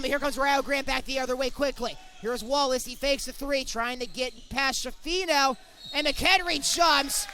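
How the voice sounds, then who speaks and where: A young man commentates basketball in a very high, bright voice. His play-by-play is excitable, following each pass in short clipped phrases. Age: young adult. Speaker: sports commentator.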